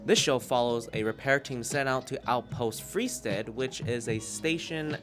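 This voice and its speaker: A man's voice: medium pitch, measured pace, faint music behind. A man giving a casual, profanity-laced review in an emphatic, rambling, irreverent manner. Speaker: podcast reviewer.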